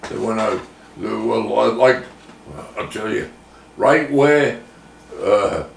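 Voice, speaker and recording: Rambling older man, gravelly, echoey room. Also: on the low side. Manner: rambling, halting, repeats himself. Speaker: interviewee. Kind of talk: casual oral history reminiscing. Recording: echoey room, distant mic, faint noise.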